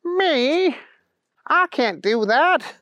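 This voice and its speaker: A man voicing a dim, naive, bumbling male character in a children's story, puzzled and slow-witted. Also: extremely high pitch, expressive, bright, forceful tone, no room sound, voice pushed up, exaggerated voice. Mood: flustered, unsure.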